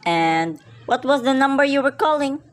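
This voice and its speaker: A woman's voice: sits high, lively intonation, moderate pace, slight background noise. A woman playing an unimpressed, patronizing city police officer in a radio play, placating and dismissive. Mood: businesslike.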